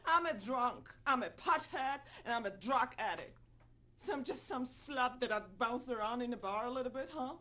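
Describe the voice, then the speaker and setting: Actress as betrayed young woman, stage drama: high-pitched, tense, bitter, confrontational. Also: bright, forceful tone. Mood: bitter, hurt. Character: furious wounded young woman confronting someone who betrayed her. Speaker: contestant performing a dramatic monologue. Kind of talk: stage monologue acting.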